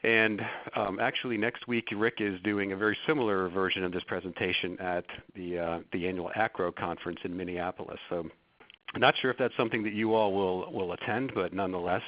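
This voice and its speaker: Stuffy-voiced man with a very low pitch. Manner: hesitant, many restarts and fillers. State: stuffy from a cold. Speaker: webinar presenter. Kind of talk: presentation talk with fillers. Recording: dull lo-fi webinar audio.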